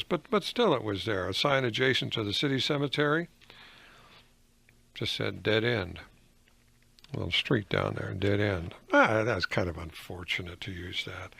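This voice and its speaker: An older American man, a professor hosting a conversational radio essay in a folksy, wry, deliberate way. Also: fairly low pitch, creaky, a bit bright, no room sound. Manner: folksy, wry, chuckling asides, deliberate phrasing. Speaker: professor and host of a radio commentary.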